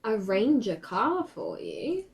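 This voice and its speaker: A woman's voice: on the high side, big pitch swings, slow. A woman playing a tabletop game, making her case in long, pointed run-on sentences. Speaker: player. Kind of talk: in-character roleplay debate.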